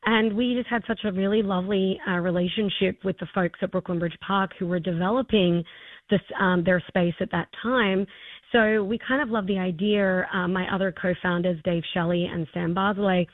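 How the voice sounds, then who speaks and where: A woman's voice: medium pitch, fairly even intonation, moderate pace. Aussie woman being interviewed, enthusiastic and chatty, thin narrowband audio, no room echo. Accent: Australian. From Australia. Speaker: interview guest, arts festival co-founder. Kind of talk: conversational interview.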